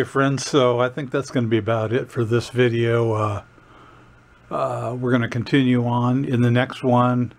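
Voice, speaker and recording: An older man giving a casual hands-on workshop demonstration in a folksy, plainspoken way, recorded by a camera in a garage. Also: medium pitch. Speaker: drum restorer hosting a how-to video. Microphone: camera a short distance away. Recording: clear background noise, workshop ambience.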